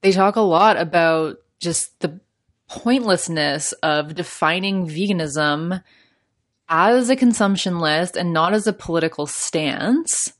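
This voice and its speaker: A woman's voice: lowish voice, slow. A young adult woman with a Canadian accent hosting a solo podcast, chatty and prone to laughing, with lots of fillers. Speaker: podcast host.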